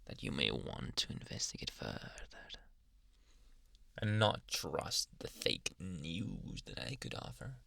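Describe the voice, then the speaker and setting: A man playing a possessive, softly menacing psychiatrist in an ASMR roleplay, coaxing in a hushed, breathy, deliberate voice. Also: very animated, no echo, studio quality, exaggerated voice. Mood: persuasive, possessive. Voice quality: breathy, gravelly low voice.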